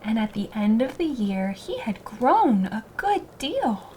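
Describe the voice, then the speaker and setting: A woman's voice: a little high, big pitch swings, measured pace, dark tone, a little hiss or hum, poor audio. A woman narrating a scripted children's story as a storyteller.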